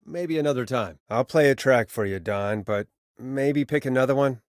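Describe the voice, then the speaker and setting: An actor playing a wry, exasperated small-town late-night radio host in a game, conversational and a little hesitant, with dry sarcasm. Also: male voice, medium pitch, normal speed, no room sound, natural voice. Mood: polite on-air. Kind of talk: scripted game voice acting, radio host patter.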